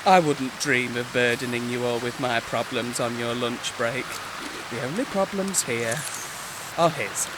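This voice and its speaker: An actor playing a mopey, passive-aggressive British man in an audio comedy drama, deadpan and pointed. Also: mid-range voice, measured pace, very noisy, lightly acted. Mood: pointed, martyred. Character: mopey, passive-aggressive man nursing his own heartbreak. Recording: rain and outdoor ambience behind.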